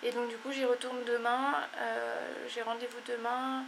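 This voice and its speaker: Young female vlogger, congested and tired, meandering delivery, flat intonation. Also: on the high side, normal speed, vocal fry, noisy background. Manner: rambling, many fillers and apologies. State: stuffy, congested. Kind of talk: casual chat to camera.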